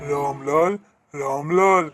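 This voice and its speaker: A man's voice: fairly high pitch, slow pace, over music. A man playing a wily village woodcutter in a cartoon fairy tale, feigning innocence in a theatrical, pleading way. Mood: brooding, scheming.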